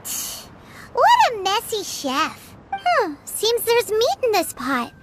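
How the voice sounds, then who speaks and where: A woman voicing a small, excitable, childlike fairy companion with a squeaky voice in a video game. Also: slow pace, a little hiss or hum, full character voice. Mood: curious. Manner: speaks of self in third person, exclamatory. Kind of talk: animated video game voice acting. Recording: music behind the voice. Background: playful game music.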